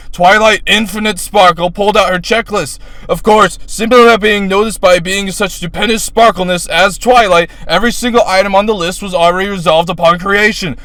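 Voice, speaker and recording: Bright, high-pitched man reading with bombast. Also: no echo. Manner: bombastic, grandiose, loud and strained delivery. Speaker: fan fiction reader. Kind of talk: dramatic reading of a comic fan story.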